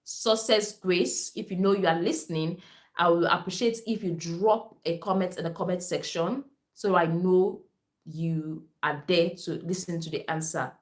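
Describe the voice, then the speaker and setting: A woman with a Nigerian accent, a native Esan speaker, hosts a live stream as a nurse and reads out viewers' comments. Her voice sits a little low, and she repeats her phrases as she speaks directly to her audience. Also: steady tone, moderate pace. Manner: repetitive, addresses viewers directly. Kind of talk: livestream reading viewer comments.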